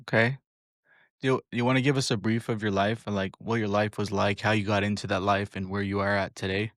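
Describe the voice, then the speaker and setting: A man's voice: fairly low pitch, level tone, a little fast, fairly bright tone, no room sound, clean studio sound. A man hosting a podcast, talking in a laid-back, plain-spoken way. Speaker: podcast host. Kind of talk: casual interview.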